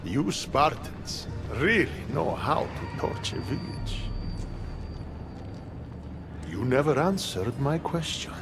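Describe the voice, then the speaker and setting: An actor playing a mocking, cruel tormentor in a video game, speaking in a sneering, taunting way. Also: male voice, medium pitch, very animated, slow, long pauses, over music.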